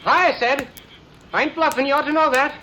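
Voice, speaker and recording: Jumpy little outlaw, noisy old recording. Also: male voice, extremely high pitch, brisk, pushed and bright. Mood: menacing, agitated. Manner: hesitant, folksy rural speech. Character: nervous, treacherous small outlaw turned jumpy gunman. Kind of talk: 1950s radio western acting. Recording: noisy vintage radio recording.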